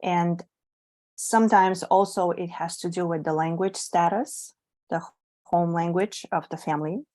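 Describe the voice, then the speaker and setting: Slow, measured woman. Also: lowish voice, steady tone, darkish tone. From Bulgaria. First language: Bulgarian. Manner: measured, thoughtful, with frequent pauses and ums. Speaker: guest expert on bilingual children. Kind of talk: explanatory interview answers.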